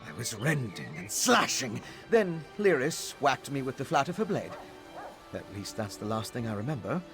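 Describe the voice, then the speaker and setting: A man's voice: mid-range voice, big pitch swings, normal speed, close to an everyday voice. An actor playing a wry, self-mocking male vampire scholar in a video game, with background music. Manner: wry, self-deprecating, musing.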